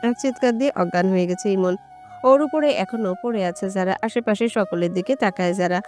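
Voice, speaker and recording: A woman's voice: mid-range voice, fairly quick, a little mellow, soft background music. A woman narrating a romantic audio story from a script.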